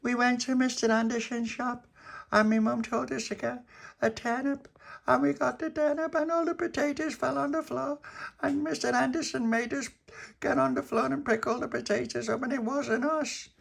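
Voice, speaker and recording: A man voicing a frantic, tearful little girl with a high, squeaky childlike voice. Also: fairly even intonation, normal speed, vocal fry, a bit bright, heavily acted. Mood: upset, tearful.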